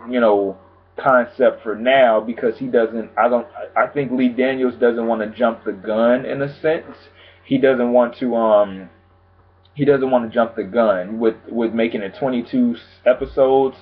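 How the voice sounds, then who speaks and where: A man vlogging about TV news in a casual, chatty way, calm and low-key, with lots of filler phrases. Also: breathy edge. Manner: chatty, frequent "pretty much" and "you know what I'm saying". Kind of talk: casual chat to camera. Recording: tinny phone-like sound.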